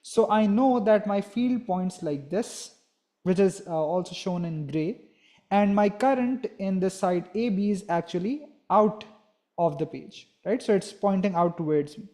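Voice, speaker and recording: A man's voice: high voice, measured pace, mellow. A young man giving a physics lesson, explaining step by step and often checking in with a "right?". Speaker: physics teacher.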